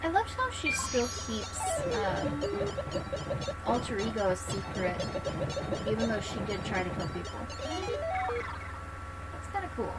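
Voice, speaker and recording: A woman's voice: mid-range voice, big pitch swings, a little slow, pauses often, poor audio. A woman game streamer giving casual, chatty commentary on a playthrough. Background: game music.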